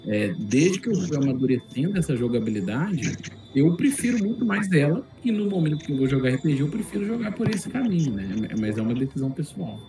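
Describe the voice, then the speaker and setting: A man's voice: fairly high pitch, big pitch swings, a little fast, warm tone. A man with a Brazilian accent chatting on a podcast in a conversational, explanatory way. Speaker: podcast speaker and game master. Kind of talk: casual podcast discussion. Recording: distant mic under clear background music. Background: music.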